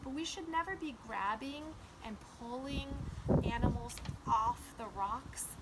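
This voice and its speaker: High-voiced woman preschool teacher reading aloud. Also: unhurried, heavy background noise. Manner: simple, instructive explaining to young children. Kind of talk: children's read-aloud and simple lesson.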